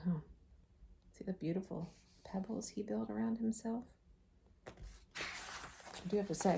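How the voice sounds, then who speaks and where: Mellow-voiced woman teacher reading to children. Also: medium pitch, creaky. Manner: child-directed, expressive, with checking tag questions. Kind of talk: storybook read-aloud with chatty asides to children.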